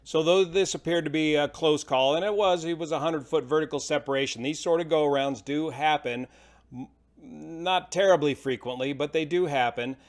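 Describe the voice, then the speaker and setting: A man's voice: on the high side, moderate pace. Plain-spoken middle-aged American man. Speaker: host, aviation commentator. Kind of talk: informal explanatory commentary.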